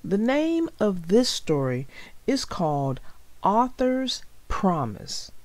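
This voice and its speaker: Slow, animated woman reading a storybook. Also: mid-range voice, music in the background. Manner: unhurried, storytelling delivery. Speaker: children's story narrator. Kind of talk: expressive scripted read-aloud of a storybook.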